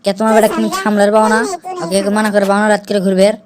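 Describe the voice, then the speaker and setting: A woman's voice: medium pitch, level tone, racing, crowd noise, lightly acted. A woman voicing an exasperated, defensive village mother with a Bogura accent in a comic amateur cartoon dub. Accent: Bogura, northern Bangladesh. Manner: defensive, argumentative, complaining. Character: exasperated village mother bickering with her husband.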